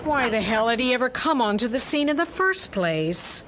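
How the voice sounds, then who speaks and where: A woman's voice: fairly high pitch, very animated, moderate pace. Woman narrating pulp detective fiction, faint noise.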